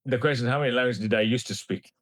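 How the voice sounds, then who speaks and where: A man in his forties from Kenya, a scientist answering interview questions in a thoughtful, halting way with light laughs. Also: mid-range voice, a bit bright, dry recording. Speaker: scientist guest.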